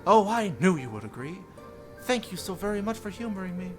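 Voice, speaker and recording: Casting: man to play a gracious visual novel mermaid, florid and reassuring, slightly high male register, music underneath. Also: very animated, fairly quick. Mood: gracious, coaxing. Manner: florid, formal, old-fashioned phrasing. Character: courteous, reassuring female mermaid, silkily formal.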